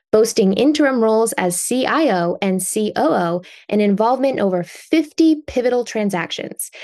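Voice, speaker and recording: A woman of medium pitch hosts a podcast interview. She reads a prepared introduction and asks her questions in an upbeat, admiring tone. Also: a little mellow. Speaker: podcast host.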